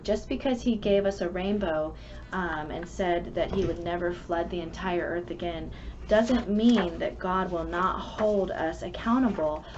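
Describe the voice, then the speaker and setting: A woman reading and explaining a children's story in an earnest, instructive manner. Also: lowish voice. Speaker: storybook reader and host of a kids' club. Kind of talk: rhyming picture-book read-aloud, then casual explanation to children. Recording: muffled lo-fi sound with faint background noise.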